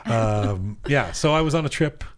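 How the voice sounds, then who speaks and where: A man hosting a casual studio chat, telling travel stories in a rambling, animated way with many restarts. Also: mid-range voice, moderate pace. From Iranian heritage. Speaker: podcast host.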